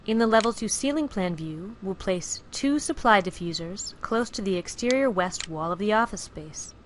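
A woman giving clear, even, scripted narration for a software screencast tutorial, recorded as a studio voice-over. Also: medium pitch, normal speed, a little hiss or hum. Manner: clear, even instructional delivery.

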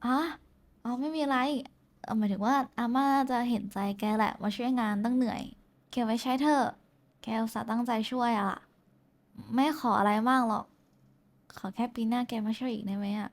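A woman performs a young woman in an intimate audio roleplay, with a voice pitched a bit high. She is bashful and affectionate, chatting casually to someone she likes. Her teasing comes with small hesitations. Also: steady tone, normal speed, lightly acted. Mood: tender, teasing. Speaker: VTuber voice actress. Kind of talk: intimate roleplay voice pack addressed to the listener.

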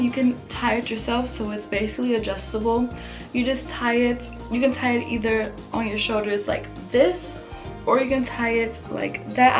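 A young woman vlogger with a slightly raspy, fairly high voice chatters through a fashion try-on haul, upbeat and loose with plenty of fillers. She talks close to the camera in her bedroom. Music plays clearly underneath. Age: young adult. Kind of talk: casual try-on haul chat. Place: bedroom with photo-collage wall, indoors.

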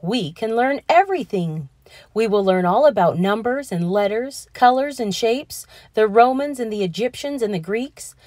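A woman's voice: a little high, expressive, a little mellow, dry recording, lightly acted. A woman voicing a proud, exuberant male school principal from a children's storybook, effusive and emphatic. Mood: proud, delighted. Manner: emphatic, effusive, drawn-out stresses. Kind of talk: children's storybook character reading.

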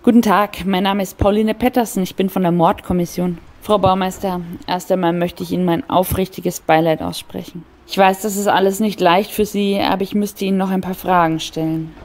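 A woman's voice: lowish voice, steady tone, fairly quick, hardly any pauses, darkish tone, natural voice. Woman acting a composed female homicide detective. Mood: polite, probing. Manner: matter-of-fact, polite, probing. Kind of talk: audio crime drama acting.

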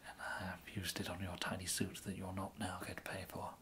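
A man in his thirties with an English accent playing a polite, fussy gentleman tailor in an ASMR roleplay, murmuring hesitantly and often slipping into a breathy whisper. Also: low voice, completely flat delivery, fairly quick, slight background noise. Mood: regretful, put out. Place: indoors, plain small room, close to camera.

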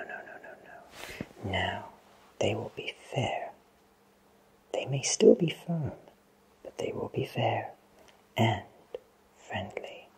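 A man in his thirties voicing a kindly fantasy potion shopkeeper in a soothing, breathy ASMR whisper. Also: medium pitch, fairly bright tone, rough recording. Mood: confiding, gentle. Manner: slow, soothing, reassuring. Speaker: ASMR roleplayer.

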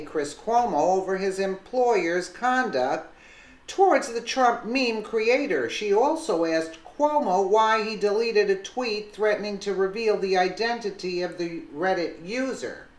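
A commentator reads a news article aloud at a middling pitch, tripping over a word now and then and correcting it. Between passages the talk turns loose and chatty. The room around the voice sounds noticeably roomy. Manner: reads aloud with slips and restarts, then chats casually.

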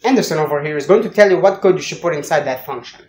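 A man's voice: fairly high pitch, racing, a little mellow, slight background noise. A young man hosting a scripted coding tutorial, explaining step by step in a playful manner into a handheld microphone. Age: young adult. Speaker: tutorial host. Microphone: handheld microphone with furry windscreen. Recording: roomy echo, music underneath. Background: background music.

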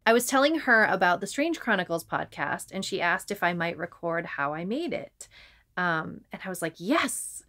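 A woman's voice: on the low side, sing-song, normal speed, bright, forceful tone. A woman talking conversationally as a podcast co-host.

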